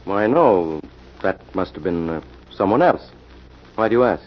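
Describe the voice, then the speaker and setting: An actor playing a courteous, guarded manager of a psychic princess in a 1940s radio mystery. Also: male voice, low voice, lively intonation, measured pace. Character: smooth, evasive male manager of a psychic princess. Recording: dull, noisy old radio recording.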